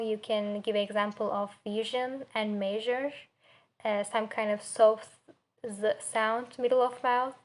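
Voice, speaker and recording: A young woman with a Turkish accent giving a spoken student presentation, haltingly searching for words and pronouncing example words carefully. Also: a little high. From Turkey. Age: young adult. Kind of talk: spoken assignment presentation on pronunciation.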